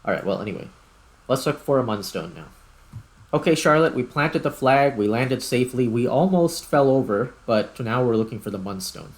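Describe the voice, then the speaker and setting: A man's voice: mid-range voice, expressive, normal speed. A man giving casual video game commentary as host, thinking aloud in short fragments. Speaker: gaming YouTuber, host. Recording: clear background noise.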